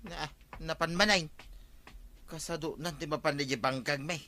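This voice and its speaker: A man's voice: on the high side, steady tone, normal speed, pushed and bright. A man with an Ilocano accent playing a nagging farmer husband in a radio comedy drama. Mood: chiding. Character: nagging farmer husband, fond of his fields.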